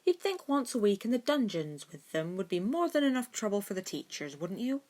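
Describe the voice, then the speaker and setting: A woman's voice: medium pitch, big pitch swings, brisk, darkish tone, voice pushed up, close to an everyday voice. A woman voicing a stubborn, sarcastic schoolboy wizard with dry retorts in an audiobook-style story.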